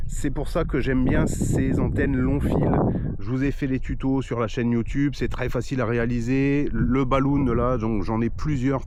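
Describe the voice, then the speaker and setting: Heavy radio static surrounds a man with a medium-pitched voice. He is an amateur radio operator vlogging casually, repeating his calls and spelling out call signs in phonetic words. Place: outdoors on a hillside by the sea. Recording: loud radio static and hiss.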